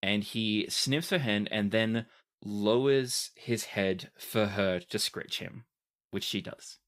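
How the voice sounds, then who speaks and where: A game master narrating a tabletop game with deliberate, dramatic scene-setting. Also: mid-range voice, fairly bright tone.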